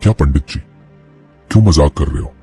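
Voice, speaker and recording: An indignant village householder in a cartoon story, voiced by a man. He speaks low, husky and reproachful, and he sounds louder than the others. Light music plays behind him. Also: a little fast, exaggerated voice. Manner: reproachful, accusing. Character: indignant middle-aged male householder. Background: faint music.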